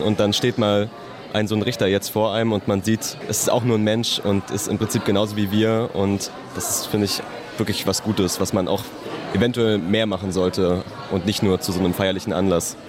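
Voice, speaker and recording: Young student guy, lowish monotone voice, casual answer with crowd and street noise. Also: a little fast. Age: young adult. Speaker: school student.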